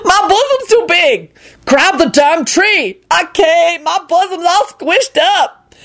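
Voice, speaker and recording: A woman voicing a loud, pious, dramatic woman in a shrill, folksy, exclamatory way for a story. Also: expressive, takes it slowly, full character voice. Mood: wailing fear. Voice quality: shrill, wailing.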